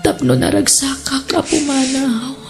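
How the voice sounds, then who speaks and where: A woman's voice: high-pitched, measured pace, soft background music. A woman with an Ilocano Filipino accent playing a frail, selfless dying sweetheart in an audio drama, tender and pleading. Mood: tearful pleading.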